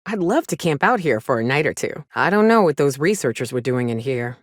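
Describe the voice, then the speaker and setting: An actress voicing a wry, plain-spoken frontier huntress with a folksy, sardonic manner in a video game. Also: female voice, rumbling low, big pitch swings, brisk, no room sound, clean studio sound, exaggerated voice. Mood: wry, casual. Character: gruff, wry frontier woman, monster hunter and loyal companion. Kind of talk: video game companion dialogue.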